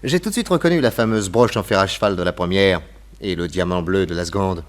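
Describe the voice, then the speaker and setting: An actor playing a self-assured, amused detective with a theatrical, teasing manner in a French radio detective play. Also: male voice, sits low, fairly quick, natural voice. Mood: mocking, confident. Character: cool, amused male detective posing as a gentleman thief.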